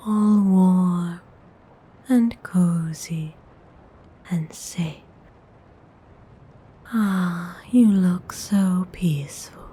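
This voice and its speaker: A woman playing a tender, possessive vampire noble in a whispered ASMR roleplay, speaking in a breathy, soothing, intimate way. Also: on the low side, dark tone, exaggerated voice. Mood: gentle, caring. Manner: soothing, slow, intimate coaxing.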